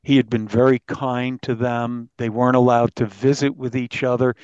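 A man, a newspaper reporter, explaining the details of a case in an interview in a halting, detailed way. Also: medium pitch, flat delivery, a little mellow, clean tone, no room sound, dull audio.

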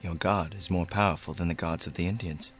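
Radio drama: male actor as dignified, wounded Native man, low voice, solemn, faint music. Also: steady tone, lightly acted. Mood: bitter, grieving. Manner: solemn, deliberate.

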